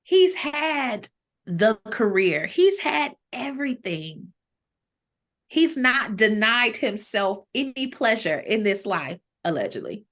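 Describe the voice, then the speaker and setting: A woman's voice: on the high side, sing-song, slow, a bit bright. An American woman from Toledo talking casually on a podcast, outspoken and opinionated, with slangy, profane phrasing. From Toledo, United States. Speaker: podcast panelist.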